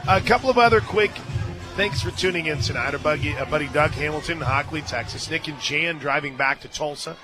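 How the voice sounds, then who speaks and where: A man doing live radio play-by-play of softball, mixing excitable calls with chatty asides. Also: on the high side, fairly quick, music in the background. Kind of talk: live softball commentary mixed with casual chat. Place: stadium radio booth.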